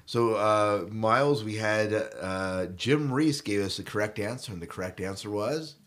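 Deep-voiced man hosting a podcast. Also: moderate pace, fairly bright tone. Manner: jokey, geeky banter. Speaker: podcast host. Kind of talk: casual fan podcast chat.

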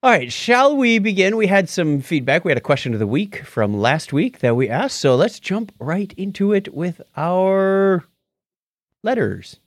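A man's voice: sits high, sing-song, a little slow, dry recording, studio quality. A man co-hosting a podcast, bantering casually and reading out listener feedback. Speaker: podcast co-host.

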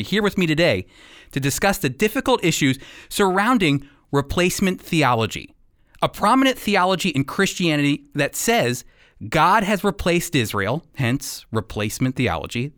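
A man's voice: fairly high pitch, fairly bright tone, dry recording, clean studio sound. A man hosting a radio teaching program, presenting and interviewing.

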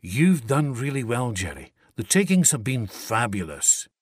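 Brief: man voicing a cheery Scots boss, husky, warm-hearted lift. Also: mid-range voice, very animated, unhurried, no echo, clean studio sound. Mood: pleased. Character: pleased male boss with whisky.

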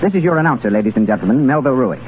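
Lively male 1930s radio announcer. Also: mid-range voice, very fast. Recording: tinny, hissy 1930s radio broadcast.

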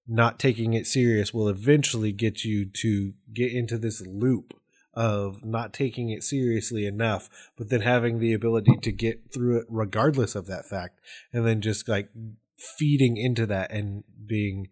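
A man's voice: lowish voice, steady tone, normal speed, a little mellow. A man hosting a casual podcast interview, talking in an effusive, somewhat rambling way.

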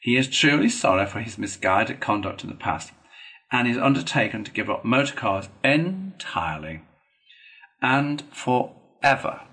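A man plays a stern, fatherly old badger in a children's storybook. He lectures gravely and eloquently, with slow, deliberate phrases and broad rises and falls in pitch. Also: sharp tone. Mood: gratified, kindly. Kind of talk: storybook character reading.

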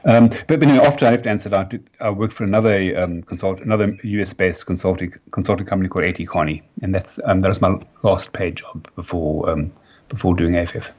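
Deep-voiced South African man, halting. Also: fairly even intonation, a little mellow. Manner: halting, many fillers and restarts. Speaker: company founder, guest. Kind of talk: podcast interview. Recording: dull lo-fi sound.

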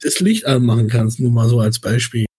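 A man's voice: medium pitch, lively intonation, a little fast, a little mellow, breathy edge, no echo. A man with a light Swabian accent hosting a podcast in a rambling, chatty way with many hesitations. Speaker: podcast host. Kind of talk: casual chat.

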